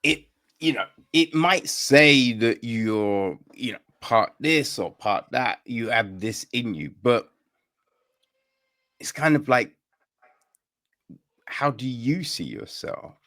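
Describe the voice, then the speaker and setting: Slow-talking male podcast interviewer. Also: medium pitch, expressive, fairly bright tone. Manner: reflective, with short affirmations. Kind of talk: casual interview conversation.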